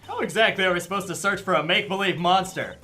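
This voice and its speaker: A woman's voice: fairly low pitch, quick pace, pushed and bright, faint music behind. A woman playing a wry young defense lawyer in a video game fan dub, sardonic and casual. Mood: sarcastic. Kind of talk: visual novel game fan dub acting.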